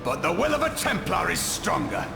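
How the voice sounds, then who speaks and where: A man's voice: high voice, wildly swooping pitch, normal speed, gravelly, heavily acted. A man playing a proud, stern templar warrior in a fantasy game, formal and righteous with solemn, declamatory delivery. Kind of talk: heroic fantasy game acting. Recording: echoing, under loud game music.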